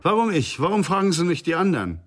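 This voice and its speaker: A man's voice: sits high, big pitch swings, fast talker, music in the background. Formal, earnest male psychoanalyst. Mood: defensive. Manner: precise, formal, explanatory. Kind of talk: German radio crime drama acting.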